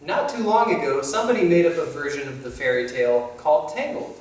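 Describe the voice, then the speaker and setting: A man's voice: on the high side, quick pace, a little mellow, natural voice. A man playing an easygoing young fairytale thief, telling stories to children in a friendly way. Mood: friendly, explaining. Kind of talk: scripted children's educational presentation in character. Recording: very echoey room, distant mic, faint music.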